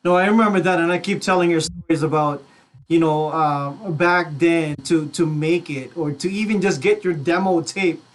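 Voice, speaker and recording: A man's voice: a little high, moderate pace. A middle-aged man hosting a casual radio interview, speaking in a slangy, code-switching way. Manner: slangy, mixes Tagalog into English. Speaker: radio host.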